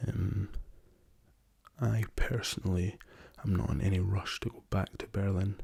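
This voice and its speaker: A hoarse young man speaks softly in a low, flat, gravelly murmur. His raspy voice carries a lingering cough's strain, and his dark tone stays gentle and hesitant, often dropping to a whisper. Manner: soft-spoken, slow and hesitant with many ums, drifting into whispers. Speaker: ASMR channel host. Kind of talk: soft-spoken ASMR travel chat.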